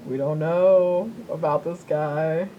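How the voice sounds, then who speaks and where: A woman's voice: low voice, steady tone, slow pace, highs cut off, a little hiss or hum. A woman co-hosting a podcast in casual chat, rambling and repeating herself as she tells things. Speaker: podcast co-host.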